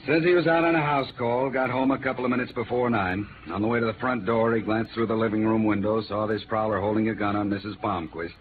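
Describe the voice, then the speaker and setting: An actor playing a big, shrewd middle-aged homicide lieutenant with an American accent, sardonic and colloquial, in a 1950s radio drama. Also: male voice, on the low side, fairly even intonation, fast, hardly any pauses, phone-quality sound, close to an everyday voice. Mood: matter-of-fact. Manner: world-weary, sardonic, colloquial. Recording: noisy, thin old radio recording.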